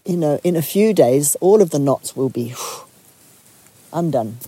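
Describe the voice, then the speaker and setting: A retreat leader from Zambia giving a soft, reflective talk to a group, pausing often and restarting phrases. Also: mid-range voice, lively intonation, husky.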